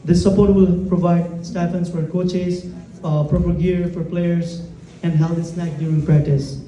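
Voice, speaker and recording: This man speaks for a youth soccer program at a gala. He reads formal remarks carefully, with small slips. His voice is fairly high but very dark, and he sounds distant from the mic in a roomy, echoing hall. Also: little pitch movement, a little slow. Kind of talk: prepared speech, then interviewing a child on stage.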